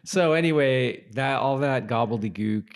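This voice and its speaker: A man co-hosting a podcast in casual chat, talking in a halting way and repeating himself as he thinks aloud. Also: mid-range voice, clean tone. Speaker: podcast co-host.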